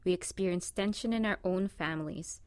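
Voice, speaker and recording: A woman narrating a scripted presentation in a measured, read-aloud manner. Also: on the low side, a little mellow, clean tone, no echo.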